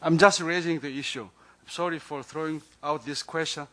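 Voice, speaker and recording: A man's voice: a little high, moderate pace, a bit bright. A man chairing a conference session, posing a question in an apologetic way and handing over to the next speaker. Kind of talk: conference moderation.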